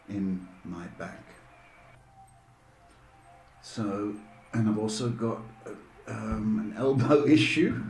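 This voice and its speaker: A man's voice: fairly low pitch, darkish tone. A middle-aged man chatting casually and jokily as a rambling live stream host. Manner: rambling, joking. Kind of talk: casual chat. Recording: echoey room, faint background noise.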